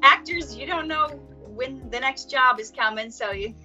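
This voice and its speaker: A woman with a high, bright voice hosts a casual interview. She asks chatty questions and keeps the talk friendly. The recording is dull and lo-fi, with faint music behind her. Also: normal speed. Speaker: host interviewer.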